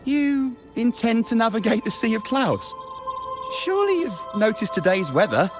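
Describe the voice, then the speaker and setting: An actor playing a helpful, cautious harbour master in a video game, speaking in a courteous, formal way. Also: male voice, very high voice, big pitch swings, normal speed, soft background music. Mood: welcoming, concerned.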